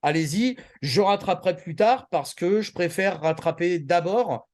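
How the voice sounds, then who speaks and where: A man's voice: high voice, a little slow, a little mellow. A man co-hosting a casual anime chat, speaking informally and repeating himself for emphasis. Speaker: podcast co-host.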